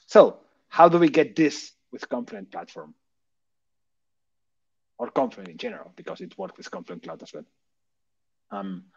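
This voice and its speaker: A man's voice: mid-range voice, a little mellow, dry recording. A man from Barcelona with a Catalan Spanish accent, in his thirties, giving a technical talk over a call with many fillers and rhetorical questions. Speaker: conference speaker. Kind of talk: technical presentation. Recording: dull, dry lo-fi call audio.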